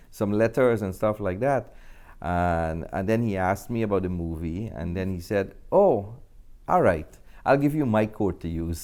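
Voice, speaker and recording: A man's voice: low voice, darkish tone. A middle-aged man with a Guyanese accent, answering conversationally as an actor and film director being interviewed.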